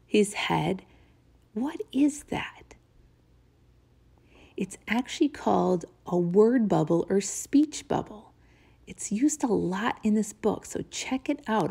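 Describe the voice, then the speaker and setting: American female kindergarten teacher: slow, gravelly edge, encouraging, chatty. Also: medium pitch, mellow. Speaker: teacher reading to a kindergarten class. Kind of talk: read-aloud and drawing lesson for children.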